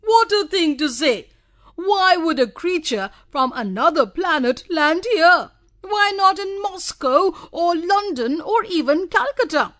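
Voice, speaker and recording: A woman voicing a loud, mocking man from a village tea circle, scoffing at others. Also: extremely high pitch, expressive, measured pace, no room sound, clean studio sound, voice pushed up, exaggerated voice.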